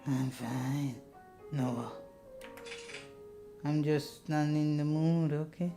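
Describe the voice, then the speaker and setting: A grumpy male investigator, acted out by a woman in a visual novel. He speaks low and curt, reluctant and exasperated, over background music. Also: steady tone, extremely slow, full character voice. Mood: curt, annoyed.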